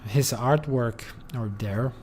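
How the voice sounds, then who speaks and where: A man commenting on his game in a loose, rambling way, full of fillers and the odd curse. He talks slowly, in a voice of middling pitch. A faint hum sits under the voice. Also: darkish tone. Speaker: game streamer. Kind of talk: casual gameplay commentary.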